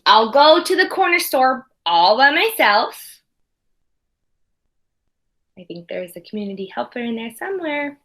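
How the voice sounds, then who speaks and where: Bright-voiced woman teacher reading aloud. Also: high voice, measured pace, pauses often. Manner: expressive storybook reading with conversational asides to children. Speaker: early primary school teacher. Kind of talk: read-aloud lesson for young children.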